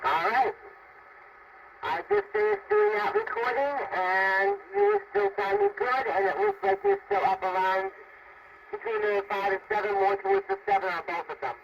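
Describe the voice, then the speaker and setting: A woman's voice: extremely high pitch, very animated, normal speed, vocal fry, a little hiss or hum. A woman giving casual signal reports as a radio operator over a CB link. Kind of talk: casual radio check-in chat. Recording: distant, muffled, staticky CB radio link.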